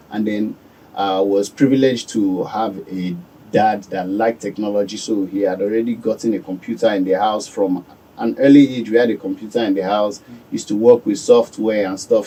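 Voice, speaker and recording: A Nigerian man giving calm, measured answers as a guest in a radio studio. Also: fairly low pitch, slightly rough, distant mic, low-quality recording. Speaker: business owner guest.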